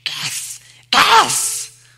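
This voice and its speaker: A man voicing a sneaky, hungry cave creature from a storybook, with a strained hiss and drawn-out, wheedling speech. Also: bright, forceful tone, full character voice. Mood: gleeful taunting. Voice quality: hissing, strained. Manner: drawn-out, wheedling, talks to himself. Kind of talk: storybook character voice.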